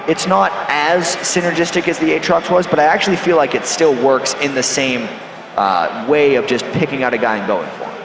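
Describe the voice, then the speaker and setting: A man's voice: on the high side, background noise. Man giving esports analysis, echoey hall. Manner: analytical, measured explanations. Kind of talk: esports color commentary. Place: packed convention hall. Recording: echoey arena with music behind.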